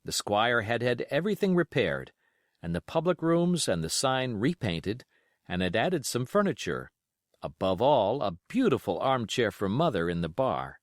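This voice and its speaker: A man narrates classic adventure fiction in a middle-pitched voice. His delivery is measured and expressive, rising and falling in an animated way. The sound is dry, with no room around it. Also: no echo. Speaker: audiobook reader.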